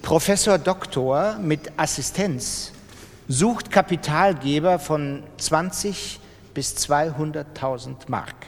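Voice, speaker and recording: A man's voice: a little high, slow pace. A man giving a scripted reading of classified ads as part of a radio drama. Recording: long reverb, noisy background.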